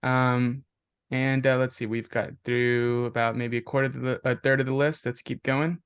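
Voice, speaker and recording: A man's voice: mid-range voice, level tone, moderate pace, clear, resonant tone, no echo, studio quality. A man leading introductions at the start of an online class, giving short friendly replies over a video call. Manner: friendly, brief acknowledgments. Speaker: course instructor.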